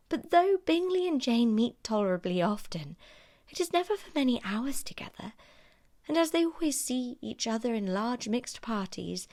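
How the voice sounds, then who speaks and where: A woman voicing a pragmatic, guarded young female friend in an audiobook novel, measured and coolly reasoning. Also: a little high, a little mellow. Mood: pragmatic counsel. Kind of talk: audiobook character dialogue.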